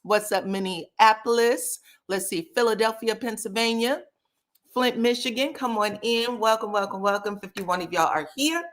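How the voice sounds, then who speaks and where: A woman's voice: on the high side, normal speed. Chatty woman hosting a recap livestream. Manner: chatty, greets viewers by name, sprinkles in "child" and "okay?". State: slightly scratchy voice. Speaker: reality TV recap livestream host. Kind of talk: casual livestream chat and show recap.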